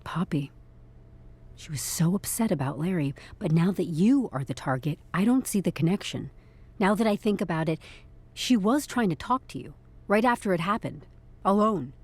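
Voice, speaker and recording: A woman's voice: on the low side, lively intonation, normal speed, a little mellow, husky. An actress playing a shrewd female HR sleuth in a podcast drama, matter-of-fact and decisive in a naturalistic style. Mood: probing, decisive. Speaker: actor in a scripted podcast. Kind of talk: naturalistic audio drama acting.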